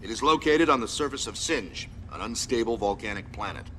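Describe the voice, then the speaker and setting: An actor playing a stern, authoritative military commander who gives clipped, formal mission briefings in a video game. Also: male voice, lowish voice, expressive, brisk, bright, forceful tone, big-room sound, slight background noise. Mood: commanding.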